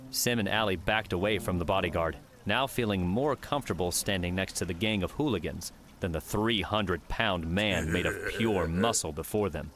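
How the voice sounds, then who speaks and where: Low-voiced man narrating an audiobook. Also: moderate pace, few pauses, over music. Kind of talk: scripted fiction narration.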